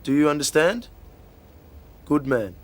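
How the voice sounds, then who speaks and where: A man's voice: a little high, sing-song, a little slow. Man acting a lecturing male doctor. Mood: instructing. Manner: lecturing, patronising. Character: stern, instructing male hospital doctor. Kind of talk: acted educational film dialogue.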